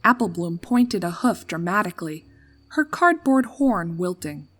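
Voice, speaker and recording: A woman's voice: on the low side, expressive, a little slow. A woman reading a scripted story as narrator in a dramatic storytelling style. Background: background music.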